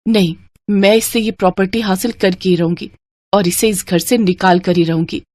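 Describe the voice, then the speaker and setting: A woman's voice: mid-range voice, steady tone, moderate pace, darkish tone, no echo, close to an everyday voice. Woman playing a domineering, scolding sister-in-law. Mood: indignant scheming. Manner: scolding, commanding. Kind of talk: cartoon moral story acting.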